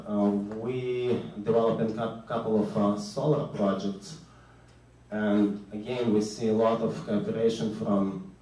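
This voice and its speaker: A man with a Ukrainian accent speaks at a conference in a somewhat low voice, pausing often on fillers and restarting his sentences. He sounds far from the microphone, and his voice rings out in a very echoey hall. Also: dark tone, slight background noise. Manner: hesitant, many fillers and restarts. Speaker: oil and gas executive, conference speaker. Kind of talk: conference panel talk.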